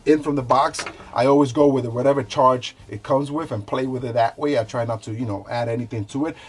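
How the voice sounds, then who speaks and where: A man hosting a casual product review, talking conversationally to viewers and repeating himself loosely as he demonstrates items. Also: medium pitch. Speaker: product reviewer, show host.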